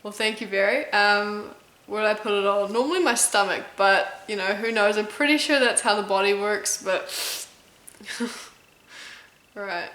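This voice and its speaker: A woman's voice: medium pitch, a little slow, fairly bright tone, roomy sound, low-quality recording. Woman with a New Zealand-American accent. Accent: mix of New Zealand and American. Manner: chatty, stops often with "um" and stumbles over names. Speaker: competitive eater and YouTuber. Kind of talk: casual Q&A vlog.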